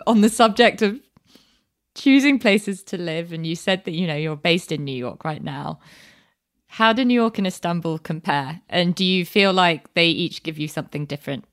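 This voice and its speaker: A British woman hosts a conversational interview. Her voice sits a little low for a woman. She speaks as a podcast interviewer, putting questions and reflections to a guest. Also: normal speed. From Britain.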